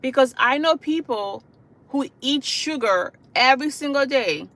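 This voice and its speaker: A woman from Haiti speaking as a hospice caregiver to her online viewers. Her voice sits fairly high, and her delivery is earnest and repetitive. She leans on "you know" and "okay?" between her points. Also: expressive, measured pace, a bit bright. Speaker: hospice caregiver and channel host. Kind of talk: livestream talk to viewers.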